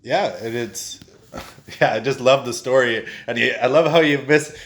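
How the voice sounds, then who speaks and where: A man's voice: mid-range voice, unhurried. Man hosting a casual interview. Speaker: podcast host.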